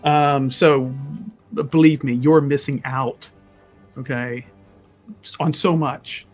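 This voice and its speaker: A man playing a pompous, condescending adventurer mage in a tabletop game, wordy and often halting mid-sentence. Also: medium pitch, fairly even intonation, soft background music. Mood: persuasive.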